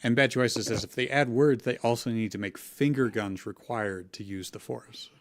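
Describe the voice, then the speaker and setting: A man's voice: medium pitch, steady tone, normal speed. A man hosting a casual livestream, reading out viewer comments and chatting with a panel. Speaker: host reading chat comments.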